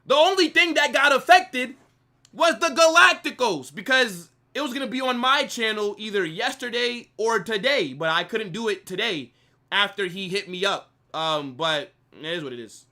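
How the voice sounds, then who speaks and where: A man's voice: high voice, moderate pace. A man hosting a live football watch-along, chatting casually and reacting to his chat. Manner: animated banter, reading chat aloud. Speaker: live stream host.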